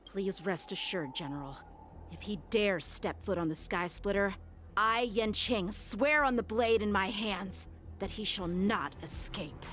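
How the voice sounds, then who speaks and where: A woman's voice: medium pitch, measured pace, a bit bright, natural voice. A woman playing an earnest, proud teenage boy lieutenant in a video game, speaking formally and solemnly. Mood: earnest, vowing. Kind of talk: dramatic video game voice acting. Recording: game music clearly behind voice.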